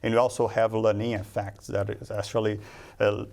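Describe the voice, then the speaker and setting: A man from Brazil, a native Portuguese speaker, answering questions as a market analyst in a studio interview, with halting restarts. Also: fairly low pitch, level tone, gravelly, darkish tone. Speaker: grain market analyst guest.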